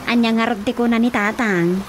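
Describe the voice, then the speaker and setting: Actress as wide-eyed curious daughter, high female voice, Ilocano accent, radio drama acting, music underneath. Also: steady tone, brisk, close to an everyday voice. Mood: curious, surprised. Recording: radio drama over clear music bed.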